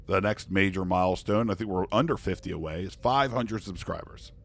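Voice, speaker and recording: A man's voice: deep voice, a little fast. A man chatting casually on a live poker stream, thanking viewers and joking in character as a starship commander. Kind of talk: casual live-stream chat while playing online poker.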